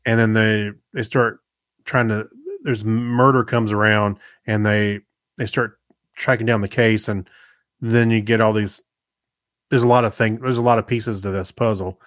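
A man's voice: lowish voice, flat delivery, a bit bright, no room sound. Laid-back man in dry, close sound. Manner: laid-back, rambling, halting. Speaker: podcast co-host. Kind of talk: casual, unscripted podcast chat.

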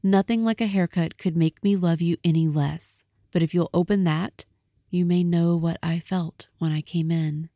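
A woman reading a storybook aloud, giving the lines of a quiet, stunned young husband in a slow, hushed manner. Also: lowish voice, fairly even intonation, no echo, clean studio sound, close to an everyday voice. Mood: earnest.

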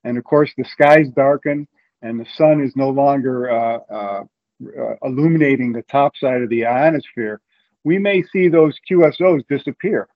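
A man's voice: medium pitch, moderate pace, lo-fi sound. A man from Ohio, a guest in an interview, explaining at length with frequent restarts. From Ohio, USA.

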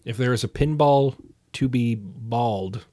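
A man's voice: medium pitch, unhurried, mellow, no room sound. A man co-hosting a podcast, interviewing casually with wry, playfully formal phrasing. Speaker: podcast co-host. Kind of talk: casual quick-fire interviewing.

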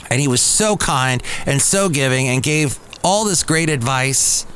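A man's voice: mid-range voice, lively intonation, unhurried, a bit bright, a little hiss or hum. Rambling middle-aged male podcast host. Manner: digressive, self-correcting storyteller, chuckles at himself. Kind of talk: casual rambling monologue. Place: café, live podcast. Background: faint café ambience.

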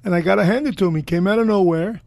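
An older man with a hoarse voice, co-hosting a casual political chat in a gregarious, bombastic, argumentative way. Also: sits high, very fast, a little mellow, no echo. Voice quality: hoarse, gravelly voice. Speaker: podcast co-host.

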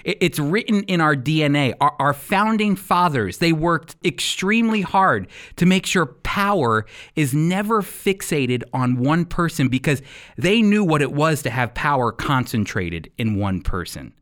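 An American man delivers Bible teaching in a fairly high voice that swings widely in pitch. He phrases deliberately and leans on key words for emphasis, posing rhetorical questions as a preacher and radio host would. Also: lively intonation, a bit bright. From United States. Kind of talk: sermon-style Bible teaching with scripture reading.

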